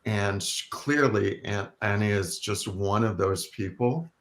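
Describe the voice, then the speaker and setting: A man co-hosting, speaking in a measured, unhurried way. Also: fairly low pitch, a bit bright. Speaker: co-host. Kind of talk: casual spoken remarks.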